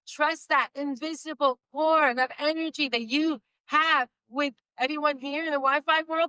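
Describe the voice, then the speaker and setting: A woman with a slight Spanish accent, Spanish being her first language, giving an emphatic inspirational speech and slowing down for key phrases. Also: high-pitched, sharp tone, dry recording, rough recording. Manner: emphatic, slows down for key phrases, laughs between points. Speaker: motivational speaker.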